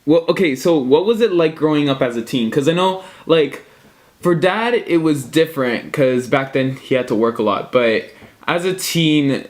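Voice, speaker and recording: A man's voice: fairly high pitch, animated, normal speed. Hesitant young man asking questions. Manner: hesitant, many fillers and restarts. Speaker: interviewer. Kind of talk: casual family interview.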